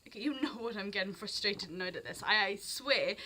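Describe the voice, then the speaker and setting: Bright-voiced teenage girl with a Welsh accent. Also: medium pitch, quick pace. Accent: South Wales, Barry, with some English sounds. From South Wales. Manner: rambling, expressive, jumps between thoughts. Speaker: vlogger. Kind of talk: unscripted casual rant.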